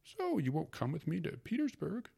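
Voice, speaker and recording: A man voicing a sentimental, fussy aging gentleman in a spoken story, pitched well above his own voice. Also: huge pitch swings, moderate pace, gravelly, husky, full character voice. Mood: coaxing. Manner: pleading, wistful, higher than the narration.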